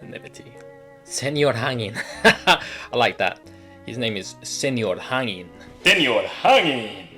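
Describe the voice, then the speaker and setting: A man's voice: medium pitch, very animated, measured pace, pushed and bright, faint music behind. A young man who hosts an advice show, reading out a viewer email and then chatting casually about it, laughing easily and restarting his sentences often.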